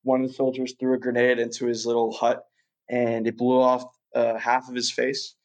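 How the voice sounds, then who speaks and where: A man's voice: medium pitch, completely flat delivery, normal speed, poor audio. A young man from Russia, a guest chatting casually in an interview, rambling with lots of fillers and restarts.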